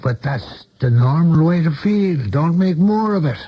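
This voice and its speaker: A man's voice: fairly high pitch, lively intonation, a little slow, a little hiss or hum. Blunt, folksy male confidant, muffled recording. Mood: reassuring. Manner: plain-spoken, folksy, emphatic. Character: blunt, loyal male confidant of an aging politician. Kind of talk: 1970s radio drama acting. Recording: dull lo-fi 1970s radio recording.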